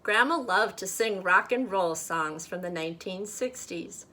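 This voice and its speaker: Woman doing a kids read-aloud, takes her time, medium pitch, expressive storybook phrasing. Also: measured pace. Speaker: church children's leader reading a storybook. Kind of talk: children's read-aloud and friendly talk to kids.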